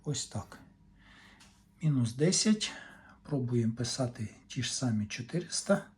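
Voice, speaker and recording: Male hobby technician, unhurried, matter-of-fact, fragmentary hands-on commentary, slightly noisy home recording. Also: medium pitch, lively intonation. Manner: matter-of-fact, talking in short fragments while working. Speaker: hobbyist repair technician presenting a demonstration. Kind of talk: unscripted technical demonstration, talking through measurements.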